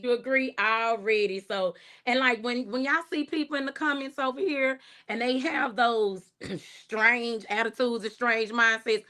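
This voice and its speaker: A woman speaking, chatting casually to viewers on a livestream. Her voice sits a little high, and she thanks people by name, encourages them warmly and laughs often. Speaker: livestream host. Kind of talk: casual livestream chat reading comments.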